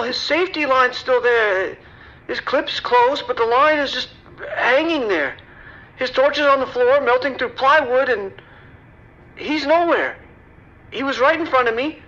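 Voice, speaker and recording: A woman plays a bewildered construction worker phoning for help in an audio drama. The voice is very high and bright, stammering and halting, on slightly dull lo-fi call audio. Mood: shaken, bewildered. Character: shaken high-rise construction worker calling emergency services.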